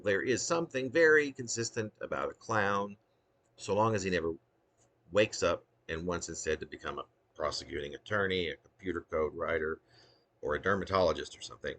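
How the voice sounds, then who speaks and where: Male poet, low-voiced and husky, for a wry, dramatic reading of humorous autobiographical verse. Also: lively intonation, normal speed, fairly bright tone. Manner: theatrical, wry, with emphatic swoops. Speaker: poet reading own work.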